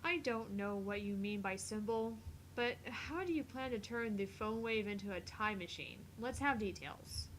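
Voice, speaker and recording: A woman voicing a sharp young female genius scientist in a visual novel game, with a dry, needling manner. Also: mid-range voice, lively intonation, moderate pace, slight background noise, natural voice, low-quality recording. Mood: sarcastic, challenging.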